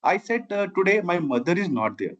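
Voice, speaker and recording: A man's voice: high voice, very animated, a little fast, dull audio. Earnest Indian man with a Bihari accent. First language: Maithili. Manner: earnest, long winding sentences with repeated "I have". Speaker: guest, civil engineer and founder. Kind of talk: interview answers.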